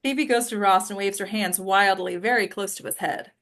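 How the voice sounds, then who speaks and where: A woman's voice: medium pitch, moderate pace, fairly bright tone. A woman giving scripted narration, reading stage directions at a table read.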